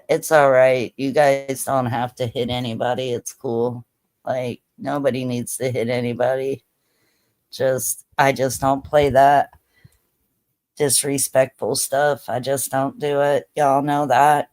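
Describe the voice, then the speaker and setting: A woman's voice: extremely low pitch, little pitch movement, slow, darkish tone. A woman in her thirties hosting a casual livestream chat, laid-back and chatty with many fillers. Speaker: livestream host.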